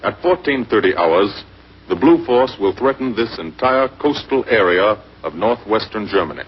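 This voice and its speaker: An American man narrating a scripted 1940s wartime propaganda film in measured, dramatic short phrases. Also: lowish voice, lively intonation, breathy edge. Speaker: documentary narrator. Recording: muffled, noisy 1940s film soundtrack.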